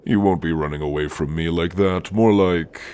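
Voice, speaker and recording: A man voicing a hulking, stern orc warrior in an ASMR roleplay, speaking in archaic threats with dark amusement. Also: low voice, slight background noise, natural voice. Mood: stern, threatening. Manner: slow, archaic, threatening phrasing.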